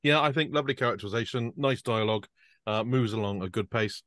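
A man gives upbeat, brisk notes on a video call through a headset. His voice sits mid-range for a man, and the sound is dry and close. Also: fairly low pitch, a bit bright, no echo. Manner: upbeat, brisk, repeats yeah. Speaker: script reading panelist. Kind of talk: casual video-call discussion.

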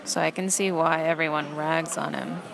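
A woman playing a sardonic young fast-food trainee who narrates an audio play in a dry, deadpan way. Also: deep voice, vocal fry, crowd noise, low-quality recording. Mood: dry, unimpressed.

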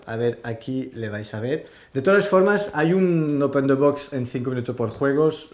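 A man, hosting a casual board game explainer in a halting way, searching for words. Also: fairly high pitch. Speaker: host of a board game show. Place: small indoor room with game shelves.

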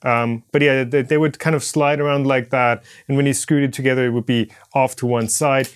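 A man's voice: medium pitch, steady tone, brisk, clean tone. A man in his thirties presenting a hands-on maker tutorial in a casual, explanatory way. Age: 30s. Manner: informal explanatory, hands-on demonstrating. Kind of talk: casual instructional product walkthrough. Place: indoor home studio workshop. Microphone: lapel mic.

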